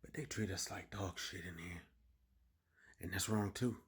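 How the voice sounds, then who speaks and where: A man performs a weary convict in a dramatic monologue, low and quiet. He is embittered and aggrieved, muttering clipped, terse phrases in rough street vernacular. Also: normal speed. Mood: weary, resentful.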